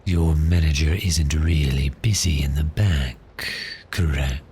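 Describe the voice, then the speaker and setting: A man playing a polite, cheerful but menacing vampire in an ASMR roleplay, speaking courteously and deliberately. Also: extremely low pitch, darkish tone, a little hiss or hum. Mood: calm, quietly gloating. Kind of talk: slow ASMR roleplay acting.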